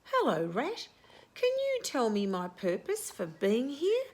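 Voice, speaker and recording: A woman voicing an earnest, puzzled young-at-heart male cassowary in a storybook, with a slow, polite, questioning way of talking. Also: a little high, huge pitch swings, darkish tone, a little hiss or hum, exaggerated voice. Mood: inquiring.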